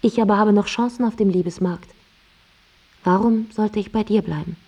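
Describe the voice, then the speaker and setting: An actress playing a fed-up wife in a radio drama, coolly rational and unsparing, with a husky voice. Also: female voice, mid-range voice, normal speed, warm tone, slight background noise, close to an everyday voice. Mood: cool, resolute.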